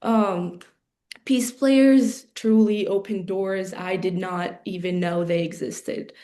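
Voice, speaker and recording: A woman's voice: mid-range voice, steady tone, slow, mellow. A woman in her twenties, a college athlete, giving an earnest personal talk with frequent ums and restarts. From Middle East. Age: 20s. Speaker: program alumna and college athlete. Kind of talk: prepared personal speech on a video call.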